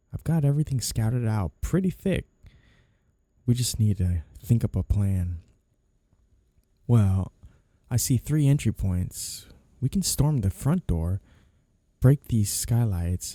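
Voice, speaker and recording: Man playing a dark, gravelly, flirty mafia boss. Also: lowish voice, no room sound, full character voice. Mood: teasing, businesslike. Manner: flirty, teasing, coolly threatening. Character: flirty, menacing male mafia boss, smooth and sly. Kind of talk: ASMR roleplay, intimate scripted monologue.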